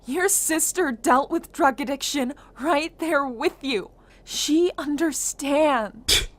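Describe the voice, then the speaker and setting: A woman's voice: high voice, slow. A woman playing a guarded, guilt-ridden young survivor in an amateur zombie apocalypse audio drama. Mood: exasperated, scolding.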